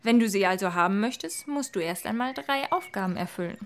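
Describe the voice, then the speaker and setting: An actress playing a polite but calculating summit fairy in a fairy-tale audio drama, chatty and condescending. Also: female voice, mid-range voice, animated, brisk, a little hiss or hum, close to an everyday voice. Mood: brisk, polite.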